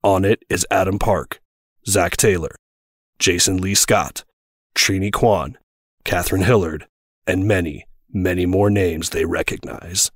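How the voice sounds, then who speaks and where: A man narrates an audio drama from a script. His voice is low and rough-edged, recorded close and dry. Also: steady tone, measured pace, no room sound.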